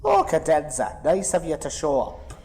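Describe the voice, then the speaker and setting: A man's voice: on the high side, huge pitch swings, normal speed, a little mellow. Curt, precise male starship chief acted. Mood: curt disapproving. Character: strict, cautious starship chief engineer. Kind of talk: sci-fi audio drama dialogue.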